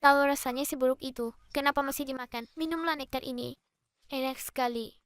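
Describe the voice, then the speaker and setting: A woman's voice: high voice, steady tone, fairly quick, fairly bright tone, clean tone, no room sound, clean studio sound. A woman voicing a small, naive, friendly moon-child creature in a preschool cartoon dub. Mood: curious, innocent.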